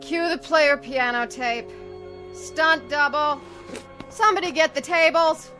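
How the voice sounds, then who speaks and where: A woman's voice: sits high, a little slow, over music. A woman playing a television crew assistant calling out cues on a set in an audio drama. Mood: businesslike. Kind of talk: radio drama acting.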